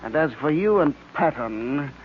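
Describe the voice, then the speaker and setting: Theatrical gloating radio crime boss. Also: male voice, on the high side, animated. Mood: gloating, menacing. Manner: deliberate, drawn-out, theatrical delivery. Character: gloating, calculating criminal mastermind, adult man. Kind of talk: 1940s radio serial acting. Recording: muffled, faintly noisy old radio recording.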